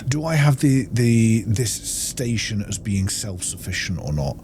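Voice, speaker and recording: A man's voice: fairly low pitch, animated, a little slow, background noise. A man giving casual gameplay commentary, thinking aloud in an informal way. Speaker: gaming video host.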